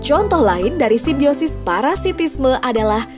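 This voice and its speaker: A woman's voice: high-pitched, very animated, moderate pace. A woman narrating a scripted science lesson for children, asking friendly questions as she explains. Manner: friendly questions to young listeners. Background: music.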